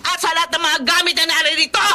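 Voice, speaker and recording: Quarrelsome wife, very high voice, bright and forceful, Filipino accent, radio melodrama, faint background noise. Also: female voice, little pitch movement, fast talker, heavily acted. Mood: furious, accusing. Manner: shrill, scolding, accusing. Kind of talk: melodramatic radio drama acting.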